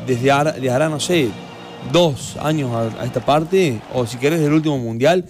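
A man's voice: mid-range voice, normal speed, a little mellow, faint music behind. A man with an Argentine accent co-hosting a radio show, agreeable and chiming in casually. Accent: Argentine Spanish. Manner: agreeable, chiming in with short replies. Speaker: radio co-host.

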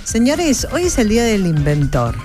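A woman's voice: mid-range voice, wildly swooping pitch, moderate pace. A woman hosting a casual radio chat, chatty and often asking for agreement. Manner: chatty, leading, asks for agreement. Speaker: radio host. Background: music.

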